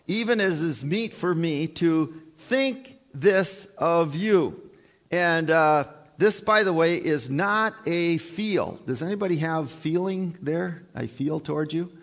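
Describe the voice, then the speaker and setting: A man's voice: fairly high pitch, expressive, takes it slowly. A man preaching a sermon in a conversational way, often putting questions to the congregation. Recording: reverberant church hall.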